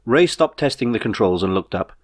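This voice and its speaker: A man reading his own novel as a scripted audiobook, in a measured storytelling manner. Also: on the low side, animated.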